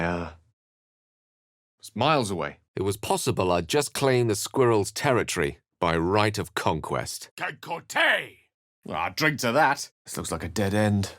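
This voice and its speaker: A low, expressive, learned wizard. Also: male voice, dry recording, studio quality. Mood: uneasy, earnest, then grave narration, then incantation. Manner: articulate, theatrical, literary phrasing. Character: learned, eloquent, wry human male wizard companion. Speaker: video game voice actor. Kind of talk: fantasy game dialogue and narration.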